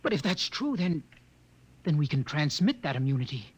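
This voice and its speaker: Intense, animated young radio-drama husband. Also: male voice, fairly high pitch, normal speed, husky. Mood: bewildered. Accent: American. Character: young man survivor, protective, tender, earnest husband. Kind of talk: 1950s radio drama acting. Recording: noisy old 1950 radio recording.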